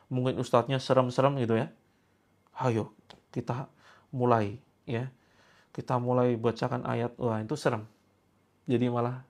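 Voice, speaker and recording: A man in his sixties, a preacher leading a healing session for a live gathering, talking in a playful, teasing way and joking freely with his audience. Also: mid-range voice. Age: 60s.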